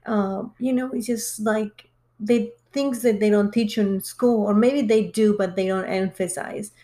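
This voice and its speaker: A woman's voice: medium pitch, fairly even intonation, measured pace, warm tone. A woman in her forties from Mexico, a podcast guest talking casually and earnestly explaining her experiences at length. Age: 40s. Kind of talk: casual chat.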